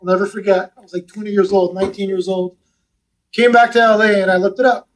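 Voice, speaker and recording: A man's voice: high-pitched, level tone, quick pace, recorded from a distance, rough recording. A man in his forties from Los Angeles, a guest telling his story in an easygoing, chatty podcast interview. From West Los Angeles. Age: 40s. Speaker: guest, real estate investment advisor.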